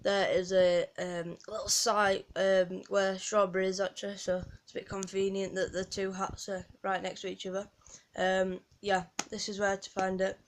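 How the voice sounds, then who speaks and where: Flat-voiced Yorkshire woman chatting casually. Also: medium pitch, moderate pace, hardly any pauses, slight background noise. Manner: rambling, many ums and restarts. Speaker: gaming guide creator. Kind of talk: casual game walkthrough commentary.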